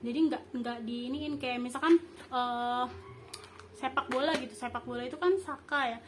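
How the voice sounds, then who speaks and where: High-voiced young woman teaching informally. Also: noisy background. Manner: halting, improvised explaining with frequent self-corrections. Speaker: host teaching basic Japanese. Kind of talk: informal language review lesson.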